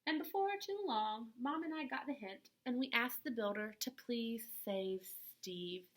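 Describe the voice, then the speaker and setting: A woman reading a picture book aloud to young children in an expressive, deliberate way, like a teacher at storytime. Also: on the high side.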